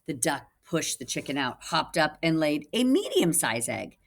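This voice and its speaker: A woman reading a picture book aloud for children in an animated way, with asides to listeners. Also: deep voice, moderate pace, creaky, a little hiss or hum. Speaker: children's storybook reader, teacher. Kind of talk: expressive read-aloud for children.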